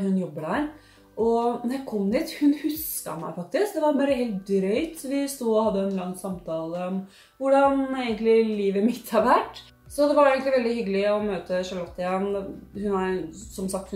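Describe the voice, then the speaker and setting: A young woman with a Norwegian accent talks through a haul at her living-room table, gushing and expressive with plenty of sighs and fillers. Her voice sits at a middling pitch. The room adds a roomy echo, and faint music plays underneath. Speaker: beauty and lifestyle vlogger. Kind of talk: casual chatty haul vlog. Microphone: fixed camera at table distance.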